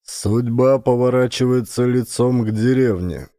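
A man's voice: mid-range voice, measured pace, mellow, no echo, clean studio sound. A man reading an audiobook as a scripted, dramatic narration.

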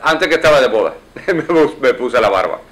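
A man's voice: mid-range voice, animated, normal speed, fairly bright tone, rough recording. A man in his forties, a lawyer, giving fluent, explanatory answers in a seated interview in an office. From United States. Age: 40s. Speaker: lawyer and judicial candidate. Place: wood-paneled office, indoors.

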